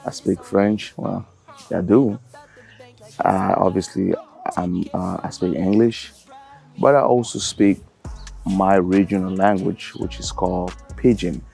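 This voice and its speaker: Young male travel vlogger from Cameroon, very low gravelly voice, easygoing and rambling. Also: slow, faint music behind. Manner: relaxed, rambling, lots of fillers. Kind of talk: casual vlog talk to camera.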